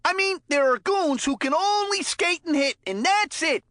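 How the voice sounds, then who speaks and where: A woman voicing an excitable, folksy, cheeky hockey commentator in a cartoon sports video game. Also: very high-pitched, normal speed, dry recording, studio quality. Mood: folksy advising. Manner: folksy, exclamatory, coaching slang.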